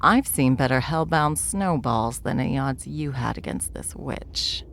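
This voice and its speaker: A woman playing a smug, world-weary veteran witch in a fantasy audio roleplay, dry, condescending and unhurried. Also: rumbling low, gravelly, slight background noise, heavily acted.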